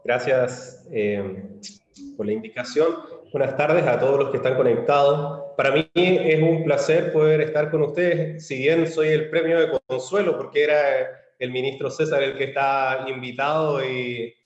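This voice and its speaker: A man from Chile with a Chilean accent gives a measured online presentation, pausing on fillers and restarting phrases. His voice sits in a middle range. It comes through a dull, lo-fi video-call line from a roomy space. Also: mid-range voice, steady tone. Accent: Chilean Spanish. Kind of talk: virtual conference presentation. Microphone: computer mic on a video call.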